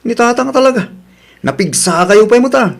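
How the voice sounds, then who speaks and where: A man's voice: very high-pitched, lively intonation, normal speed, creaky, full character voice. An actor playing an earnest, responsible young working man in a radio drama, speaking respectfully.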